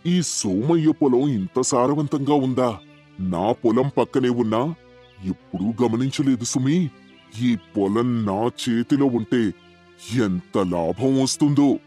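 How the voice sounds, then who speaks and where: A man voicing an arrogant, greedy rich village landlord in a storybook tale, haughty, loud and scornful. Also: mid-range voice, animated, slow, faint music behind. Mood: scheming.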